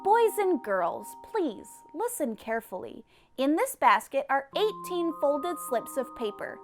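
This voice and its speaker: A firm but patient kindergarten teacher, put on by a woman reader. Her storybook voice is high and measured, instructing small children over faint music. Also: big pitch swings, heavily acted. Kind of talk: children's storybook character reading.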